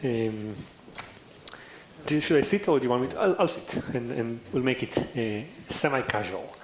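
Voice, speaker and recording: A man's voice: medium pitch, lively intonation, normal speed. Fluent male neuroscientist in echoey hall. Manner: fluent, explanatory, builds points in lists. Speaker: neuroscientist on a marketing panel. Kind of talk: semi-casual panel talk. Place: conference hall. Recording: echoey hall with faint background noise.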